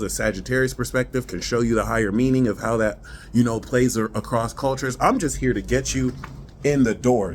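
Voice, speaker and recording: A man's voice: mid-range voice, slight background noise. A man from New Jersey talking through an astrology forecast in a casual, conversational way, with playful slang asides. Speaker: astrology reader.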